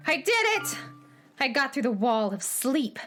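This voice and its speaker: An actress playing a bold, eager young space traveler in a children's radio play. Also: female voice, high-pitched, unhurried, soft background music, heavily acted. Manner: eager and excited.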